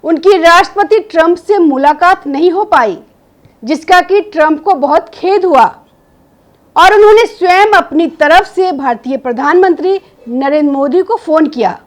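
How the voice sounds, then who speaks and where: High-pitched woman presenting political news commentary. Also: measured pace. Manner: opinionated, emphatic rhetorical questioning.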